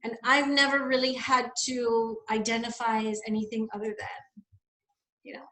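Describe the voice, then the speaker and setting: A young woman from the Bahamas talks through her experiences in a loose, thinking-aloud way. Her voice sits a little high, and the recording rings with the echo of the room around her. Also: level tone, normal speed, bright, forceful tone. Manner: reflective, rambling, many fillers. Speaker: guest. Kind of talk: casual conversation, personal story.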